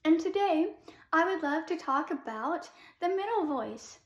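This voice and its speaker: A young adult woman, a classical soprano, giving a relaxed vocal lesson in a chatty way with many ums. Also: very high voice, unhurried, roomy sound.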